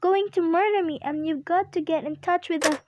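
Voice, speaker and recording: A woman's voice: very high voice, a little fast, dull audio, slight background noise. A young woman in an amateur radio play acting a nervous, demanding invalid wife, agitated and stammering. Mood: terrified, pleading. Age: young adult.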